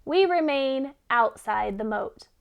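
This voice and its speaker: A woman's voice: high voice, animated, takes it slowly, creaky, clean tone, highs cut off, full character voice. A woman voicing a skeptical, weary female hen helper in a children's storybook, with dry, grudging replies. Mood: reluctant. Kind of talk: children's storybook read-aloud.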